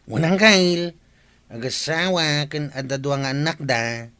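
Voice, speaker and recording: A fairly high Ilocano-accented man's voice, played by an actor, as a chatty village gossip. He is agreeable and nosy, in a radio comedy. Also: unhurried, bright, forceful tone, clean tone, dry recording. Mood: gossipy.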